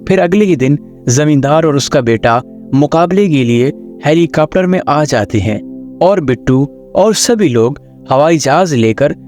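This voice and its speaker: Male storyteller, a little high in pitch, scripted children's cartoon narration over light music. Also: expressive, measured pace, darkish tone.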